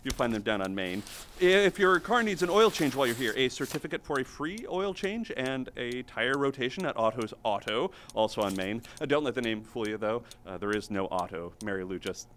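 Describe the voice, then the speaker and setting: A man's voice: medium pitch, animated, fairly quick, a bit bright, a little hiss or hum, lightly acted. A man playing an earnest, chatty assistant to a small-town mayor, formal and eager to please.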